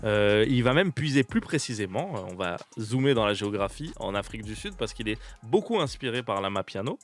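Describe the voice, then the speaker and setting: A man's voice: fairly low pitch, big pitch swings. A young French man hosting a radio show, chatting casually and laughing often. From France. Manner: laughs often, jokey and fast-talking.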